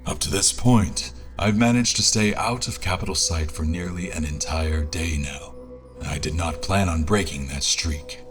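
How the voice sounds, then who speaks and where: A man performs an adventurer with a low voice, recounting a perilous expedition as if from a journal. The delivery is formal, literary and dramatic, in the style of a scripted audio drama. Soft music sits beneath the narration. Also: moderate pace, lightly acted. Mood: earnest, brooding.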